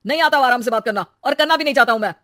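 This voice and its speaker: A woman's voice: mid-range voice, animated, brisk, dry recording, lightly acted. Woman doing grumpy young husband, blunt n exasperated, dry sound, story voice. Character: young husband and son, downcast, short-tempered.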